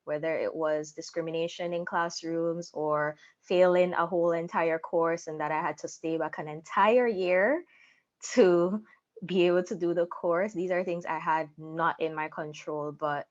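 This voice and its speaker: A woman's voice: on the low side, steady tone, unhurried, muffled recording. A young adult woman telling her personal story candidly as an interview guest. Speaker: interview guest, content creator.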